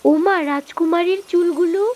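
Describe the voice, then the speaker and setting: A woman voicing an excitable, girlish woman of a royal palace in a fairy-tale cartoon. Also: very high-pitched, normal speed, background noise. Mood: excited curiosity. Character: excitable female characters of a royal palace, queen and maid.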